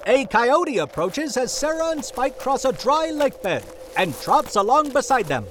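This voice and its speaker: A man's voice: extremely high pitch, very animated, unhurried, slight background noise. A man narrating in a theatrical, tongue-in-cheek style, like an announcer for an old radio serial. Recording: loud music behind voice.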